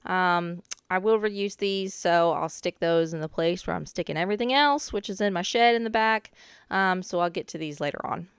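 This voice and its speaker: A young woman, a little hoarse from a cold, casually narrating her own renovation footage with chatty asides. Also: on the low side, moderate pace, no echo, clean studio sound. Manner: chatty, relaxed, with frequent "um" asides. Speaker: DIY renovation vlogger.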